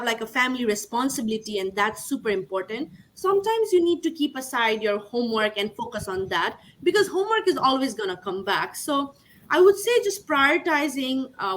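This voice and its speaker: A woman's voice: a little high, lively intonation, normal speed, a bit bright, a little hiss or hum, low-quality recording. Earnest young woman from Nepal. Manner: earnest, filler-heavy with frequent "like" and "you know". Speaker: MBA graduate panelist. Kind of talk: online panel answer. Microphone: video call.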